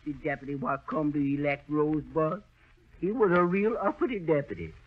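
A man's voice: fairly high pitch, expressive, brisk, very noisy, natural voice. A man playing a muddled, deferential young delivery man with folksy, ungrammatical talk in a 1930s radio comedy. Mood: chatty, deferential. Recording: dull 1930s radio recording.

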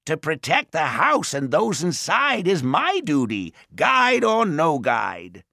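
Male narrator as a tiny rhyming brownie: high, gravelly, slow and swooping. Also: huge pitch swings, sharp tone, no echo, studio quality, heavily acted. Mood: solemn. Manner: speaks in slow, singsong rhymes. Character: small, grieving male house brownie. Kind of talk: audiobook character voice.

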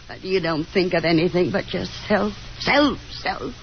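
A woman's voice: on the low side, a little slow. An actress playing a fretful, nagging middle-aged Southern mother, fussy and insistent, in 1950s radio drama style. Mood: anxious, reproachful. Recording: dull, noisy 1950s radio broadcast.

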